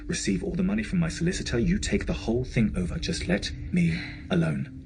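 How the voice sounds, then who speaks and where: An actor playing an evasive, well-to-do bachelor in a period audio drama, halting and stammering as he speaks apologetically. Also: mid-range voice, sing-song, vocal fry, background noise. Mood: insistent. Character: evasive, well-to-do bachelor gentleman, uncle of orphans.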